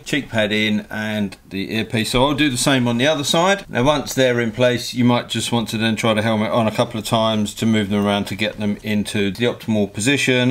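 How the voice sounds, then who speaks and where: A man giving a hands-on product review in a practical, matter-of-fact, explanatory way. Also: lowish voice. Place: indoor motorcycle workshop bench.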